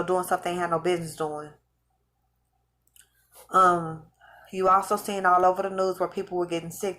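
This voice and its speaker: Woman, lowish voice, losing her voice, rambling, repeats herself, casual vlog monologue. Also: fairly bright tone. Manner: rambling, repeats and restarts phrases. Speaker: vlogger recounting religious visions. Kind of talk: casual spoken monologue to viewers.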